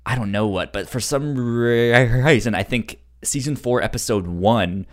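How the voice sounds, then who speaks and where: A man co-hosting a podcast in casual chat, halting and often repeating sounds in a stutter. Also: mid-range voice. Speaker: podcast co-host.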